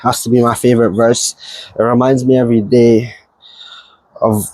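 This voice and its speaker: A man's voice: mid-range voice, flat delivery, moderate pace, darkish tone, no echo, low-quality recording. Earnest young man. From Nigeria. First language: Yoruba. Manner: eager, earnest, laughs easily, repeats phrases. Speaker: new church digital creative, interviewee. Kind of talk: casual interview answers.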